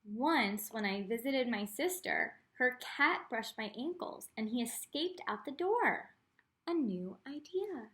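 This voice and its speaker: Female art teacher for a children's read-aloud: fairly high voice, expressive storytelling, speaks straight to the kids. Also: a little slow, a little hiss or hum. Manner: expressive reading, addresses young listeners directly. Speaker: art teacher reading a picture book aloud.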